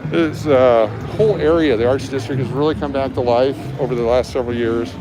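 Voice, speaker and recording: A man's voice: medium pitch, fairly even intonation, brisk. A man hosting a casual walking livestream outdoors on busy streets, talking into a handheld camera as he goes. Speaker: livestream host. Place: outdoors on city sidewalks at night, by traffic. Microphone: handheld camera or phone while walking. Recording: street traffic and live music behind.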